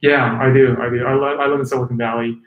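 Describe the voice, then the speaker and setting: A man's voice: medium pitch, little pitch movement, fast, phone-quality sound. Man from China in an echoey room. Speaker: interviewee, tech company executive. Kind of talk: casual interview chat. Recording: roomy, echoing sound.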